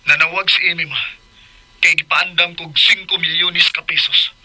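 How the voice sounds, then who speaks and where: A man's voice: fairly high pitch, steady tone, moderate pace, lightly acted, low-quality recording. A man playing an anxious, protective young father in a radio drama, pleading urgently with a Filipino accent. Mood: worried.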